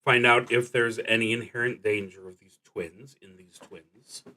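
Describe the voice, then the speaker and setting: A man plays a solo roleplaying game on stream at a slow, unhurried pace. His voice sits at an average male pitch. He thinks aloud and reads out cards, often halting or trailing off as he works through the rules. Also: steady tone, pushed and bright, poor audio. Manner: halting, trailing off while working things out.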